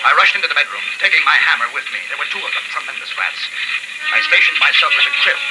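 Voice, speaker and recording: An actor playing an earnest, educated young male survivor who narrates his story deliberately and dramatically in 1950s radio drama style. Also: a little high, sing-song, poor audio. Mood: urgent, tense. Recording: old radio broadcast with music behind.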